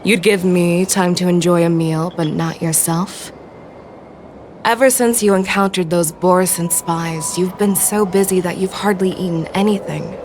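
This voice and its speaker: An actress voicing a calm, commanding woman general who speaks with gentle teasing. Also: lowish voice, moderate pace, noisy background, close to an everyday voice. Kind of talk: game voice acting.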